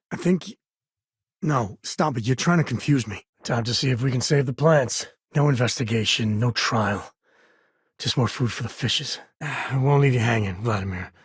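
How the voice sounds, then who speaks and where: A man's voice: medium pitch, husky, no room sound, clean studio sound. An actor playing a rugged, easygoing space ranger and protective father in a video game, with a folksy, wry, conversational manner. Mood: wry, reflective. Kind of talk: video game character dialogue.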